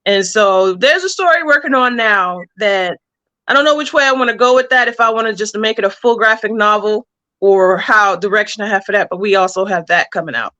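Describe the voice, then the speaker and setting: A woman's voice: medium pitch, a little fast, sharp tone. A woman speaking casually as an interview guest, explaining plans for a story as a comic book writer.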